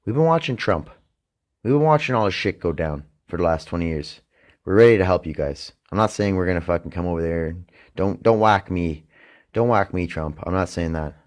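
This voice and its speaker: A Canadian man hosting a casual late-night solo podcast, rambling and profane, trailing off into mumbles and then bursting into loud emphasis. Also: low-pitched, animated, a little fast. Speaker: podcast host.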